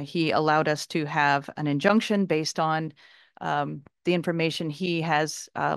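Woman, public commenter, hesitant, lots of ums, lowish smooth voice, dry sound. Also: no echo. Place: large boardroom. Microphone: podium microphone.

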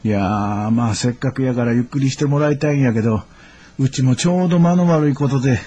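An actor playing a middle-aged shopkeeper father with a husky voice and Kansai accent in a radio drama, effusively polite. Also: mid-range voice, fast, mellow, dull audio, slight background noise, natural voice. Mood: polite, bothered.

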